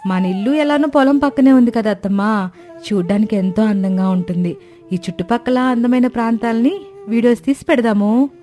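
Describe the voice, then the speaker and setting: A woman voicing a gentle, earnest young village daughter-in-law in a cartoon moral story. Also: on the high side, brisk, soft background music, natural voice.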